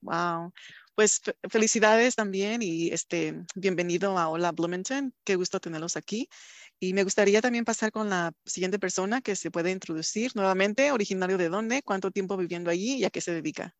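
Locutora de radio efusiva, sonido seco. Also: female voice, medium pitch, moderate pace, no room sound. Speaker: radio host. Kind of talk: community radio interview. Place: recorded over a video call.

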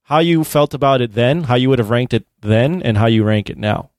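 Male podcast co-host, medium pitch, conversational delivery, guiding a casual film discussion, dry close recording. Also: fairly quick, a little mellow, no echo.

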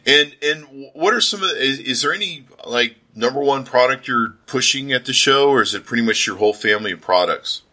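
A man's voice: medium pitch, expressive, fairly quick, hardly any pauses, pushed and bright. A man hosting a casual promotional interview as a podcaster, talking with slangy, gushing enthusiasm.

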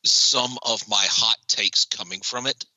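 A man co-hosting a casual podcast chat about a TV episode, animated and joking. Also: lowish voice, measured pace, no echo, poor audio. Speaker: podcast co-host.

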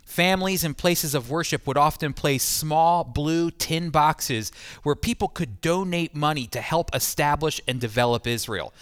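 A man's voice: fairly high pitch, unhurried, fairly bright tone, smooth, no room sound, studio quality. A man hosting a radio interview program as its presenter and teacher. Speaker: radio host and Bible teacher.

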